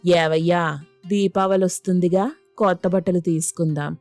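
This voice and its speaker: Woman voicing a low-pitched, coaxing mother. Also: steady tone, normal speed, darkish tone, dry recording, soft background music, lightly acted. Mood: matter-of-fact. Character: tender, pleading village mother and wife. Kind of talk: scripted moral story dialogue.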